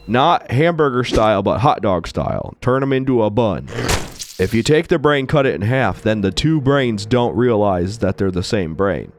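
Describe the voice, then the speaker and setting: A man playing a game and chatting through it, playful and rambling, still getting over losing his voice. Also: mid-range voice. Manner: playful, rambling, self-mocking. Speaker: gaming channel host. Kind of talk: Let's Play commentary with character voices.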